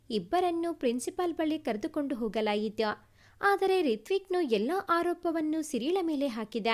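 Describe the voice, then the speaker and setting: A woman reads a sentimental family story aloud as a storyteller, in a measured, formal way. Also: sits high, darkish tone. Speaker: storyteller, narrator.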